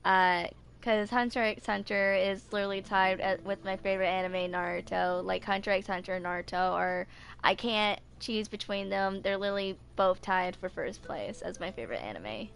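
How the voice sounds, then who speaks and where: A woman's voice: mid-range voice, moderate pace, gravelly, a bit bright. A woman in her twenties giving casual commentary as a video creator. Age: 20s. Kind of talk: casual commentary on anime and games.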